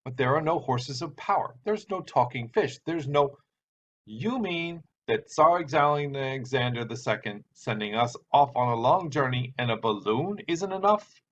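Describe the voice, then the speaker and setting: A man's voice: mid-range voice, animated, measured pace, voice pushed up. A man voicing a grumpy, sarcastic uncle who tells stories in an exasperated, declamatory way. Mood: indignant. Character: grumpy, theatrical storytelling uncle with sarcastic temper. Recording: dull sound with background hiss.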